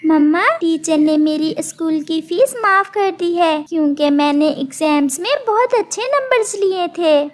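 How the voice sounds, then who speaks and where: A woman's voice: very high-pitched, a little slow, hardly any pauses. A woman voicing a sweet, polite, studious young bird daughter in an earnest manner for a cartoon. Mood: excited.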